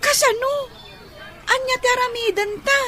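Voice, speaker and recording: A woman playing a cheerful little girl in a radio drama, with a childlike, drawn-out, questioning way of talking. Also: very high voice, bright, forceful tone, a faint crowd, a little hiss or hum. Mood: puzzled. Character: cheerful little girl, younger daughter Cindy.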